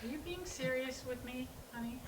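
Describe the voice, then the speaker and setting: A woman playing concerned adult sister, slightly high voice, probing, exasperated, stage drama reading, clear background noise. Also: brisk, natural voice. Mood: worried, probing. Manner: probing, insistent questioning.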